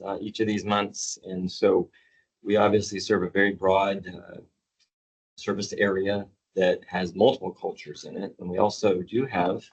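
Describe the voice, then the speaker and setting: A deep, low-voiced man comments as a director during a public board meeting. He speaks in a measured way and pauses with fillers. The audio is dull and lo-fi.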